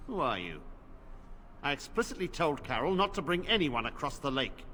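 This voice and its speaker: An actor playing a stern middle-aged knight commander in a video game, grave and commanding. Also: male voice, on the high side, sing-song, moderate pace, bright, forceful tone, a little hiss or hum. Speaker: game character.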